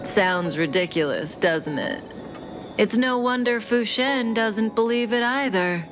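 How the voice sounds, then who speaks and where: Mysterious young female game outlaw, low voice, very slow deliberate delivery, coolly teasing, soft endings. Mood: knowing, composed. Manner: unhurried, deliberate, coyly knowing. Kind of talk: video game character dialogue. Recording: studio voice over game music.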